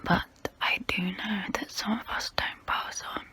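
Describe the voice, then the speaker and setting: A woman voicing a haughty immortal vampire who looks down on humans, in a breathy close-up whisper for an ASMR role play. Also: medium pitch, level tone, pushed and bright. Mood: disdainful, musing. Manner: slow, deliberate, condescending.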